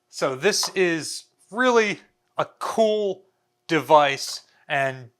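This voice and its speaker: An American man in his thirties hosts an informal explainer. His voice sits at a middle pitch with a bright, forceful edge. He talks casually to the camera, with a wry, dry sense of humour. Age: 30s. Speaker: museum video host. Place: small bare indoor room.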